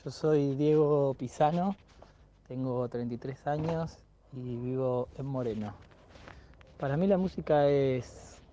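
Calm man in his thirties. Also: mid-range voice, takes it slowly, noisy background. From Argentina. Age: 30s. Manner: calm, reflective, repeats words as he thinks. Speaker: music teacher. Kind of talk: recorded interview answers.

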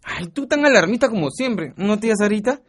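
An actor with a Peruvian accent playing a carefree, naive young mototaxi driver in a radio drama. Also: male voice, sits high, expressive, a little fast. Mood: excited, carefree. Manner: breezy, casual.

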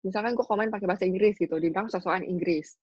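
A young woman, a guest in a casual podcast chat, telling her stories in an animated, complaining way. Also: medium pitch, racing, husky, no room sound. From Indonesia. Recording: dull dry recording.

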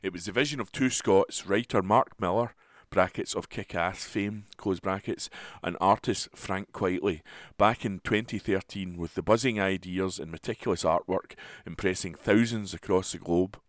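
A man's voice: deep voice, normal speed, no echo, studio quality. A man volunteer reader reading a newspaper article aloud for listeners.

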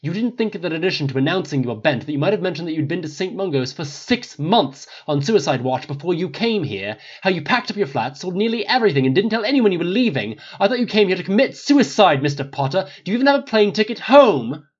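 A man's voice: medium pitch, lively intonation, normal speed, highs cut off, natural voice. A man voicing a bitter, menacing older wizard with cutting, formal, sarcastic diction in a dramatic story reading. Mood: shouted fury.

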